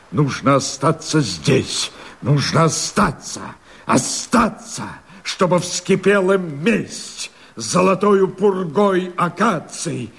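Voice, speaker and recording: A fierce, brooding rebel chieftain declaims verse for a radio drama. His husky voice takes its time and swings widely from low to high, with impassioned, theatrical weight. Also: heavily acted. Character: fierce, brooding male leader of a peasant revolt.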